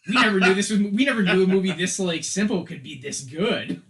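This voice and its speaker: Casual man with a slightly higher voice. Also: brisk, fairly bright tone. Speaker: podcast co-host. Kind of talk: casual film and game discussion.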